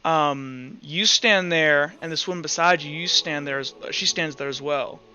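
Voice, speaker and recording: A man narrating a tabletop roleplay game as game master, telling the story in halting, deliberate phrases. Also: fairly high pitch, sharp tone, muffled recording.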